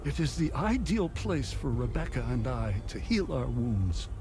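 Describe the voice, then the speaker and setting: A man's voice: medium pitch, big pitch swings, measured pace, background noise. An actor playing a devout, fatherly older abbot in a video game, speaking in formal, pious and grave phrases. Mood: calm, gentle. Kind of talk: dramatic game dialogue acting. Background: music.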